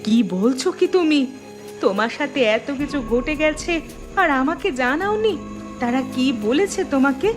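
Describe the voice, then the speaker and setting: Very high-pitched, anxious young woman. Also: lively intonation, moderate pace. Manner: anxious, dramatic, pleading. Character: frightened young woman haunted by a ghost, and her sister. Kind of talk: horror cartoon voice acting. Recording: loud music behind the voice.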